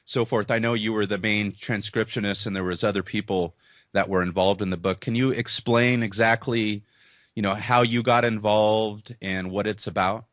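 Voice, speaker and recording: A man's voice: lowish voice, steady tone, moderate pace, a bit bright, dry recording, muffled recording. A man hosting a radio interview show, asking casual questions and often repeating words.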